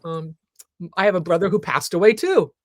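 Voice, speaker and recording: A woman's voice: fairly low pitch, fairly quick, no echo, studio quality. A woman hosting a live interview before an audience, with an effusive, exclaiming manner. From military family, lived partly in Germany as a child. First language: German. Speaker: talk show host.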